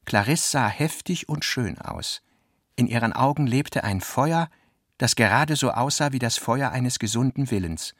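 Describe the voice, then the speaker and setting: A man narrating a literary radio drama in a deliberate manner, with a gravelly voice. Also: medium pitch, expressive, fairly bright tone, no echo, clean studio sound.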